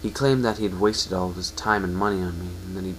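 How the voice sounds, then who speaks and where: Man as a low-voiced, downcast young pegasus stallion. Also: fairly even intonation, fast talker, a little hiss or hum, close to an everyday voice, low-quality recording. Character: downcast young male pegasus, injured and self-blaming.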